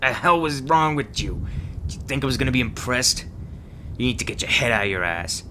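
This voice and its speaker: A man playing a gruff, sarcastic, guarded monster hunter mentor in an ASMR audio roleplay. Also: mid-range voice, animated, fairly quick, bright, forceful tone, a little hiss or hum. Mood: angry. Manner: foul-mouthed, sardonic, scornful.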